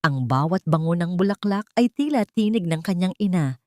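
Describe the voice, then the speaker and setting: A woman with a Filipino accent narrates a sentimental drama in a fairly low voice. Her delivery is measured and emotive, a scripted storytelling read. The sound is very dry, with no room around it. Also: lively intonation, darkish tone, no echo.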